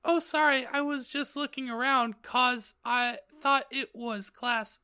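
A woman's voice: high-pitched, fairly even intonation, measured pace, bright, forceful tone, clean tone, no echo. A woman voicing a melodramatic, easily outraged teenage goth girl in a comic story reading. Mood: coy. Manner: exclamatory, overwrought.

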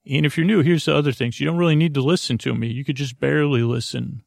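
A male podcast host rambles through a bedtime monologue in a gravelly, creaky voice at an ordinary male pitch. He meanders, halts and restarts his thoughts mid-sentence. The recording is very dry, with no room sound around the voice. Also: fairly even intonation, dry recording. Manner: meandering, halting, self-interrupting.

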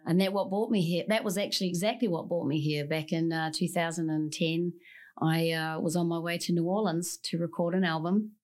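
A woman's voice: on the low side, steady tone, a little fast, darkish tone. A woman with a New Zealand accent, a singer-songwriter guest telling her story in an effusive, rambling way in a podcast studio. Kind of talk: casual interview chat.

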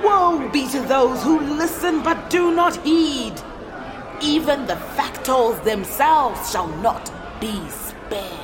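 An actress playing a grim, passionate prophet of doom, declaiming portentously in a fantasy audio drama. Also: female voice, sits high, expressive, slow pace. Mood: ominous, fervent. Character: grim, passionate female doomsaying prophet orator. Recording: crowd and music behind voice.

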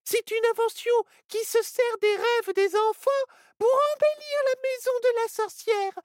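A man voicing a tiny frantic squirrel in a storybook reading, with a squeaky, urgent voice. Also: steady tone, a little slow, dry recording.

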